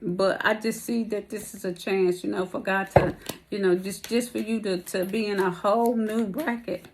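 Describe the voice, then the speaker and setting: A woman's voice: medium pitch, fairly even intonation, moderate pace, a little hiss or hum. A woman giving a casual, rambling tarot reading to her viewers while losing her voice. She talks in slang and calls her listeners baby and y'all. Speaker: tarot reader.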